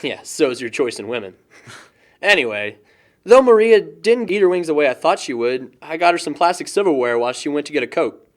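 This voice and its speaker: A young male actor as a chatty, lovestruck young guy with a lively, swinging, fairly high voice. He is lighthearted and joking, rambling through casual audio drama dialogue. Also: a little fast, lightly acted. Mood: chatty, amused. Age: young adult.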